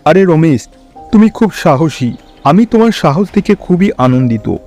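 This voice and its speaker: A man's voice: on the high side, sing-song, unhurried, dark tone, faint music behind. A man voicing a formal, earnest king in a fairy-tale cartoon. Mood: grateful. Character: grateful, questioning adult male king.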